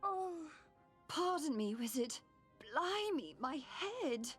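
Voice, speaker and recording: A woman's voice: high-pitched, very animated, slow pace, faint music behind, full character voice. A woman playing a bookish, dramatic museum expert in a video game, theatrical. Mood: dazed, musing.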